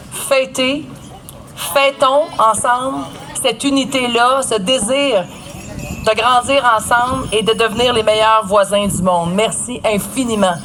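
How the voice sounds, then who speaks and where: A woman's voice: fairly high pitch, a bit bright. Earnest Quebec woman giving a noisy outdoor speech. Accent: Quebec French. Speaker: guest speaker at a public celebration. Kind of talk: public speech to a crowd. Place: outdoor event at an old port. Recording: noisy outdoor public event.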